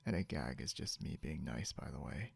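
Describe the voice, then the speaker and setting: A man playing a possessive, grudgingly caring non-human captor in an intimate ASMR roleplay, gravelly and often slipping into a whisper, muttering haltingly and condescendingly, with noise behind him. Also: rumbling low, expressive, heavily acted. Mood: smug, threatening. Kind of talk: ASMR roleplay, intimate second-person acting. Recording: noticeable background hiss or hum.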